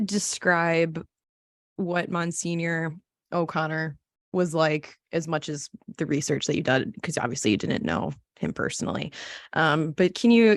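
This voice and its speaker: A woman's voice: fairly low pitch, no echo, studio quality. A woman hosting a podcast, interviewing in a casual, conversational way.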